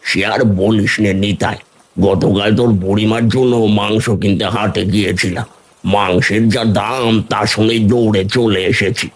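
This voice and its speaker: A man voicing a weary, grumbling old village uncle in a cartoon, with a drawn-out, unhurried way of talking. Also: on the low side, fairly even intonation. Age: older. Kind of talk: cartoon voice acting.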